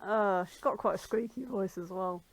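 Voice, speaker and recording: A woman's voice: medium pitch, moderate pace, a little hiss or hum. A woman chatting casually with fellow readers. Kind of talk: casual chat.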